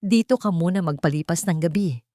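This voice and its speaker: A woman voicing a serious, guarded but considerate rich man in a romance story, speaking in a measured way. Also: lowish voice, mellow, dry recording, studio quality, lightly acted. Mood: gentle.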